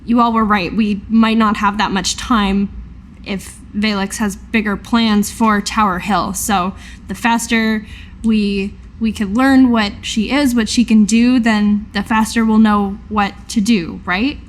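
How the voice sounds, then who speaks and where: A woman talking as a player in a tabletop game, hesitant and deliberate, partly in character. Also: mid-range voice, steady tone, slight background noise. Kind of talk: in-character casual game discussion.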